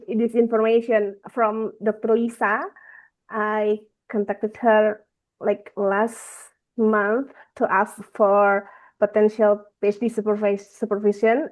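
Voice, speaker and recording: Slow, hesitant Indonesian woman, phone-like sound. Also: mid-range voice. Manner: hesitant, polite. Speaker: participant introducing herself. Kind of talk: informal self-introduction. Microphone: video call. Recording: thin phone-like video call audio.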